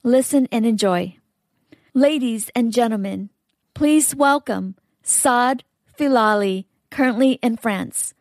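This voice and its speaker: A woman's voice: on the high side, slow, no room sound. A woman co-hosting a podcast, reading a scripted introduction addressed directly to listeners. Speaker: podcast co-host.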